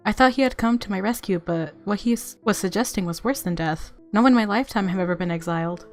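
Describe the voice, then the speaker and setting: Expressive woman reading aloud over music. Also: medium pitch, fast. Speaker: game streamer reading aloud. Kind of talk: narrating a visual novel with spontaneous reactions. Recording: music under the voice.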